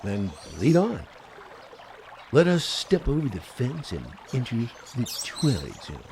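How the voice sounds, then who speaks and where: A man acting a gruff, skeptical ghost hunter in an amateur supernatural radio play. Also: mid-range voice, huge pitch swings, darkish tone. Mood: wry. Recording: clearly noisy, rushing water sound behind.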